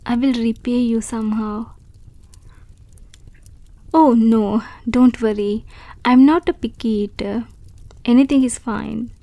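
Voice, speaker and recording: A bashful young wolf creature, played by a woman, talks slowly and gently in a raised, mellow voice. She is polite and hesitant and often stumbles over her words. The sound is close and dry. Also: on the high side, steady tone, no echo. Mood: grateful. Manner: soft, polite and hesitant, with stammers. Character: shy grateful young female wolf creature. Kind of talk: audio roleplay.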